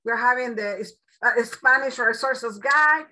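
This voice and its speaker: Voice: mid-range voice, unhurried, bright, forceful tone, slight background noise. A newspaper representative welcoming a newcomer on a video call in an upbeat way.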